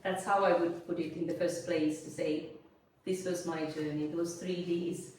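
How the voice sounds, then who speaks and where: A woman in her forties with a Sri Lankan accent, giving earnest, measured interview answers as a guest. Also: fairly low pitch, level tone, a little mellow. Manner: earnest, measured, speaks in lists and principles. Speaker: guest, business consultant. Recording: roomy echo, a little distant from the mic, faint noise.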